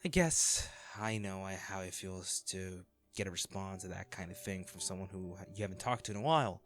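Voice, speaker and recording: A man acting in an audio roleplay as an anxious, self-deprecating young man, a caring old crush who talks haltingly and intimately to the listener. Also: deep voice, fairly bright tone. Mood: subdued, bitter. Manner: hesitant, halting, sighing.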